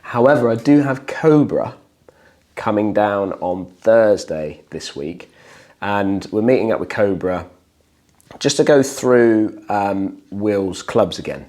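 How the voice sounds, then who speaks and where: A man hosts a casual chat, his somewhat low voice croaky and worn. He rambles, repeats words and restarts his sentences as he goes. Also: lively intonation, slow pace, darkish tone. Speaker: host.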